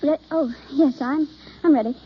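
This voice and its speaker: An actress playing an eager, nervous, apologetic young amateur in an old-time radio drama, halting and earnest, on a dull vintage recording. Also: female voice, sits high, lightly acted. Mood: earnest, shy.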